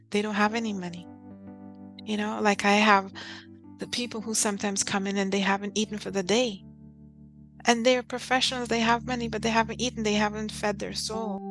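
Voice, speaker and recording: A woman's voice: medium pitch, a bit bright. Heartfelt woman in her fifties, from Guyana. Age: 50s. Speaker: guest. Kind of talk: personal storytelling in an interview.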